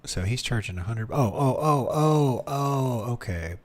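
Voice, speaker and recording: A man's voice: medium pitch, animated, a little slow. A man streaming from home, chatting casually and thinking aloud, with lots of restarts and fillers as he mutters his way through things. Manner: rambling, many restarts and fillers, muttering while reading.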